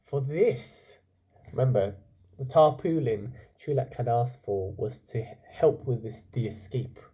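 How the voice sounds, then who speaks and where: A man working as a teacher, reading a children's book aloud and pausing to explain it and put questions to his young listeners. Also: medium pitch, warm tone, husky. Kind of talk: storytelling with explanatory asides to children.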